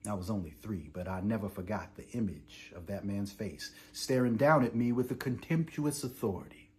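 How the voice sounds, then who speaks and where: A man's voice: lowish voice, natural voice. A man performing a weary, defiant imprisoned revolutionary. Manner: deliberate oratorical delivery swinging from hushed to impassioned. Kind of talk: dramatic theatrical monologue, letter read aloud.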